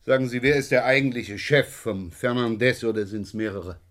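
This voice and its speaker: A man plays a shrewd police inspector in a radio crime drama. His medium-pitched voice probes with wry, pointed questions and a sardonic edge. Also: normal speed, fairly bright tone, natural voice. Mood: shrewd, needling.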